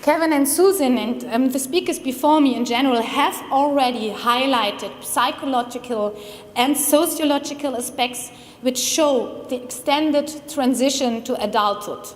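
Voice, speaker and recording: A woman in her thirties with a German accent, giving a formal, measured academic lecture at a conference. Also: high-pitched. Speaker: conference speaker, criminology researcher. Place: large conference hall. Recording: long hall reverb.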